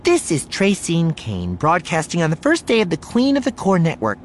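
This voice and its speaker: A man voicing a brisk, polished woman news broadcaster with a practiced, breezy, commanding manner in an audiobook. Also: on the high side, wildly swooping pitch, slight background noise, voice pushed up. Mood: bright, professional. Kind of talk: audiobook character voice.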